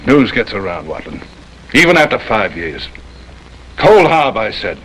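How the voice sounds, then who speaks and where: Captive rebel gunman: lowish voice, hard, blunt, taunting; old western TV sound with slight noise. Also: big pitch swings, a bit bright. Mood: cold, taunting. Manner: blunt, taunting, drawn-out menace. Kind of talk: 1950s TV western acting. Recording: noisy old TV soundtrack, rain-like hiss.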